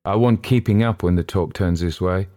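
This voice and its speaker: A man voicing a stout, hearty, bossy commercial traveller with a husky voice in a storybook reading. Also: deep voice, moderate pace, warm tone, lightly acted.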